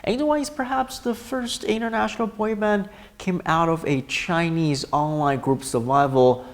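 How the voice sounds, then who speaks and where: A man hosting a news feature, giving a clear, scripted narration. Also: on the high side, moderate pace. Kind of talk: presenter narration.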